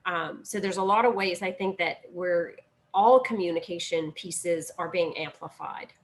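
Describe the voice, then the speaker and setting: A woman's voice: lowish voice, a little slow. A woman hosting a panel talk, laughing easily and upbeat as she wraps up. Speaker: podcast host.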